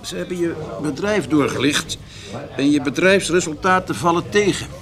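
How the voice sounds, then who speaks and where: An actor playing a level-headed, discreet money adviser in a radio play, speaking in a matter-of-fact way. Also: male voice, fairly high pitch, moderate pace, fairly bright tone. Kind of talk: Dutch radio play acting.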